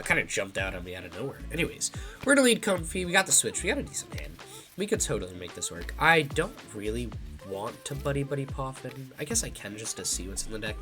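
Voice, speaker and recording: A man's voice: mid-range voice, fairly bright tone. A young man with a congested, slightly nasal voice, casually thinking aloud while commentating his own card game match. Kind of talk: live gameplay commentary.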